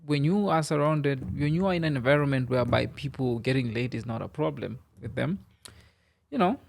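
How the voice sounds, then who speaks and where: A man's voice: medium pitch, animated, fairly quick, gravelly, slight background noise. A man talking as a guest on a podcast in a studio, rambling loosely and packing his speech with "you know" and "I mean.". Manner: rambling, filler-heavy, many "you know"s. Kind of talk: casual interview chat.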